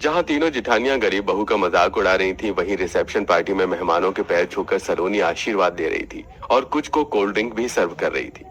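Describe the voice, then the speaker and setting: A man's voice: low-pitched, fairly quick, few pauses, fairly bright tone. A man delivering scripted story narration for a cartoon family tale.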